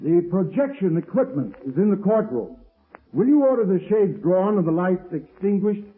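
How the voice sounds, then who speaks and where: An actor playing a confident prosecutor who speaks in a crisp, formal way, in a 1930s radio courtroom drama. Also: male voice, high-pitched, sing-song, moderate pace. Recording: tinny scratchy 1930s radio recording.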